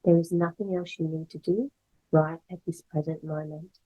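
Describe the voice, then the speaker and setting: A woman guiding a meditation as a yoga instructor, speaking in gentle, measured phrases with pauses. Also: low voice, fairly even intonation, breathy edge, phone-quality sound. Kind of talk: guided meditation, slow soothing instruction.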